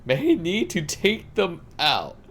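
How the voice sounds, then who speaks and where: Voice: mid-range voice, huge pitch swings, slow, a bit bright, a little hiss or hum. A game streamer giving casual live commentary while playing.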